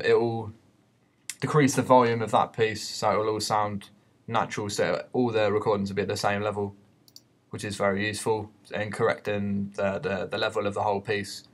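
Male tutor, lowish monotone voice, hesitant, talking through an unscripted screen-recorded lesson. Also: normal speed. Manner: hesitant, explanatory. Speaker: media teacher giving a software tutorial.

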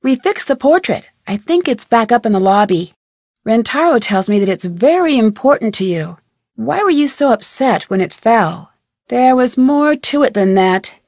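A woman voicing an inquisitive, persistent young amateur detective in a video game, earnest and clearly articulated. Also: a little high, expressive, normal speed, a little mellow, dry recording, clean studio sound. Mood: curious, polite. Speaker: voice actor playing the lead detective. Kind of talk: video game dialogue lines.

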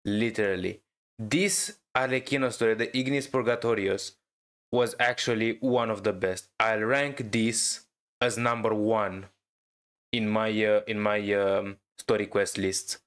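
A man's voice: fairly low pitch, steady tone, a bit bright. A man giving casual, enthusiastic reaction commentary over a video game, repeating himself and drawing out his words. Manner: drawn-out, repetitive, enthusiastic. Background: faint game music.

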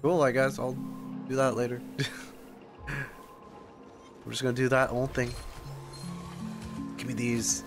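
A man's voice: mid-range voice, little pitch movement, a little slow, pauses often. A young man streaming a video game, reacting casually and rambling in loose asides. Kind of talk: casual live gameplay reaction. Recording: light game music behind. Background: video game music.

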